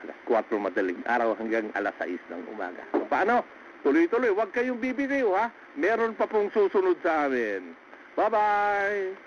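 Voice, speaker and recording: A man's voice: high voice, expressive, moderate pace. A man with a Filipino accent co-anchoring live radio coverage in a casual style. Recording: dull, faintly noisy radio broadcast.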